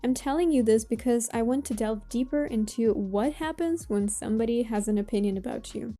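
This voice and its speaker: A woman with a Russian accent delivers motivational advice in a gently high, mellow voice. Her phrasing is measured and deliberate, with pauses that stress key words. Faint music plays behind her. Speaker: host of a self-improvement channel. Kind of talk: motivational advice talk to viewers.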